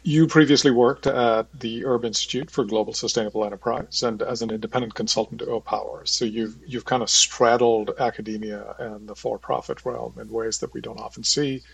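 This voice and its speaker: Gravelly, husky man hosting an interview. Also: mid-range voice, fairly even intonation, a little fast, hardly any pauses, distant mic, poor audio. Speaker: podcast host and interviewer. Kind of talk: measured interview questioning.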